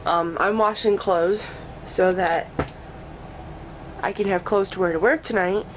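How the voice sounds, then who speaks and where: A woman's voice: mid-range voice, fairly even intonation, a little slow, noisy background. Sick, stuffy-nosed woman vlogging. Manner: chatty, self-mocking, laughs often. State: sick with a stuffy nose, voice coming and going. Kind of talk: casual daily vlog talking to camera. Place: at home indoors.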